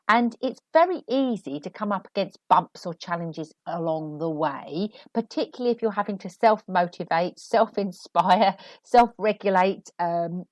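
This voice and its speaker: A woman hosting a podcast and giving writing advice in a chatty, animated way, a little sniffly from a cold. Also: medium pitch, dry recording. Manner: chatty and animated, laughing asides. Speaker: podcast host and author. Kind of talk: solo podcast advice talk.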